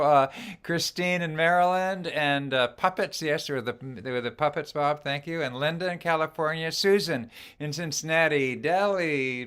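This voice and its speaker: A man's voice: fairly high pitch, a bit bright, smooth. A man hosting an online sing-along over a video call, chatty and cheerful, greeting viewers by name. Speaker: host.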